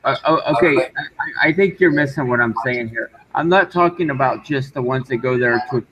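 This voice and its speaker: A man arguing his point in casual online talk, halting and insistent, often repeating himself. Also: medium pitch, crowd noise. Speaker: discussion guest. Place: online group voice call. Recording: dull, noisy voice-call audio.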